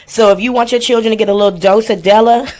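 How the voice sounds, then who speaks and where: A woman's voice: a little high, steady tone, quick pace, darkish tone. A woman in her forties hosting a casual livestream, chatting in a blunt, playful, slangy way and swearing freely. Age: 40s. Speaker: livestream host. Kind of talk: casual livestream chat with house rules and shout-outs.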